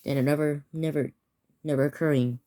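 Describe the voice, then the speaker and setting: A man's voice: on the high side, steady tone, brisk, warm tone. Teen boy stumbling through a mock alert. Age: teens. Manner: stumbling, restarting words while reading out an alert. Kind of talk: improvised mock emergency broadcast.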